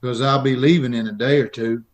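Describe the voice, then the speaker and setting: A man's voice: mid-range voice, fairly even intonation, a little fast, natural voice. A man playing a meek, peculiar little drifter in a radio Western, speaking in a hesitant, folksy way. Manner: hesitant, simple, folksy drawl-like phrasing. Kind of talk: old-time radio Western acting.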